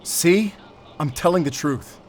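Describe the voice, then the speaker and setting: A man's voice: on the high side, slow. An actor playing an earnest male captive who argues his case insistently in an audio drama. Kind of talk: cinematic audio drama acting. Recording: faint vehicle noise behind.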